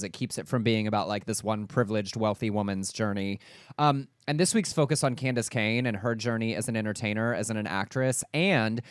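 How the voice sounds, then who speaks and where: A man's voice: fairly low pitch, clean tone, no room sound, clean studio sound. A man co-hosting a casual talk show, articulate and thoughtful as he recounts stories. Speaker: co-host. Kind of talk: casual chat.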